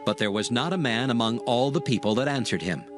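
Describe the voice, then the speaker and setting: A man's voice: medium pitch, animated, a little fast, music in the background. A man narrating a dramatized scripture recording as a solemn storyteller.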